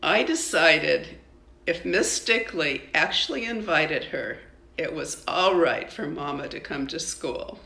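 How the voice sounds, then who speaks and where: An older woman, a grandmother, reading a children's story aloud in an expressive, deliberate way. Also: low voice, creaky, roomy sound.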